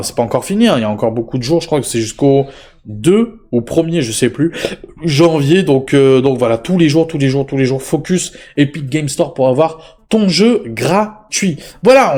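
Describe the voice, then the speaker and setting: A man's voice: on the high side, darkish tone. A young man running a casual tech-deals video, talking in a chatty, rambling way with lots of fillers and repeated words. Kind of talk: casual deal roundup vlog.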